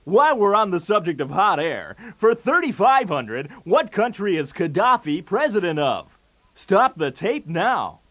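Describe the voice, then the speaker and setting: High-pitched, swooping game show host. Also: male voice, sing-song, measured pace, a bit bright, heavily acted. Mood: showy, wisecracking. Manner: showy, jokey, with punchy delivery of gags. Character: brash wisecracking male TV game show host. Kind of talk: 1980s game show patter.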